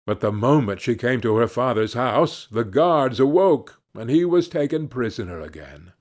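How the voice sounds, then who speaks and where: A man narrating a scripted fairy tale as an audiobook reader, in a measured storybook manner. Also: mid-range voice, big pitch swings, a little mellow.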